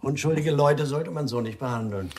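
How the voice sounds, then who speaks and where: A man's voice: on the high side, normal speed, a little mellow, breathy edge. A man playing a smug, aggrieved career criminal in a German radio crime drama, complaining politely. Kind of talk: German radio play acting.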